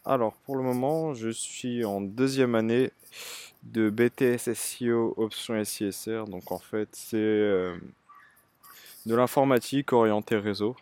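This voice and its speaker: A young man with a Parisian French accent answers interview questions about his life and studies. He speaks thoughtfully, pausing often with fillers. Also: lowish voice, darkish tone, clear, resonant tone, no room sound. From Paris area, France. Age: young adult. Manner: thoughtful, hesitant, frequent fillers. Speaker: interviewee, student.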